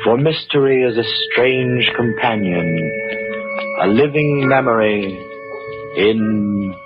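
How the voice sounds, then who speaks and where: An actor playing a solemn, ominous announcer, delivering portentous 1940s radio mystery narration. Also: male voice, mid-range voice, over music, lightly acted. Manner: slow, portentous, deliberate. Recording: dull 1940s radio recording.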